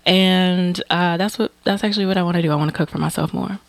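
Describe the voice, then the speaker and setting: A woman's voice: on the low side, steady tone, fast, vocal fry, dry recording. A woman co-hosting a podcast, chatting casually and offering encouraging advice. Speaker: podcast co-host.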